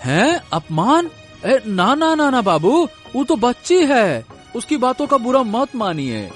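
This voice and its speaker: Man playing flustered cartoon farmer, extremely high pitch, timid, servile, pleading, music behind. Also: sing-song, slow, full character voice. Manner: pleading, stammering when flustered. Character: timid, deferential poor farmer father, comic and flustered.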